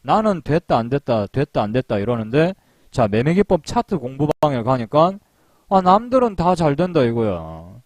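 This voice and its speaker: A man's voice: mid-range voice, sing-song, moderate pace, darkish tone, no room sound. Chatty man giving a stock lecture. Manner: chatty, repeats himself, laughs at own jokes; rhetorical questions, frequent fillers. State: sore throat from long phone calls. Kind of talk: casual recorded lecture to members.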